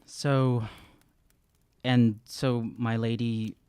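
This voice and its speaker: A man in his thirties role-playing earnestly in a tabletop game, halting and casual, close on a studio microphone. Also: medium pitch, little pitch movement, mellow, clean tone, no echo. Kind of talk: improvised in-character role-play and casual description. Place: small room, indoors. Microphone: close studio microphone on stand.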